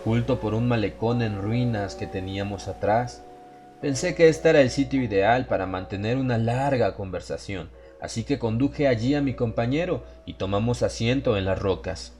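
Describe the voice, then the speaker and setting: A man's voice: medium pitch, moderate pace, darkish tone. A man giving a scripted first-person narration of a horror story as an audiobook narrator. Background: music behind the voice.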